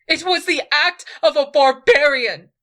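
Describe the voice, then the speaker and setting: A woman voicing a proud, hot-tempered young queen who snaps in a raised, sharp voice. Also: high-pitched, measured pace, pitched above the performer's own voice. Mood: furious.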